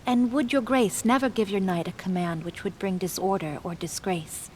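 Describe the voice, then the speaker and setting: Woman playing calm formal healer priestess in a fantasy audio drama, measured, faint noise behind. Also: mid-range voice, close to an everyday voice, rough recording. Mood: solemn. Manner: formal, measured, gently commanding. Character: composed, caring woman cleric and healer.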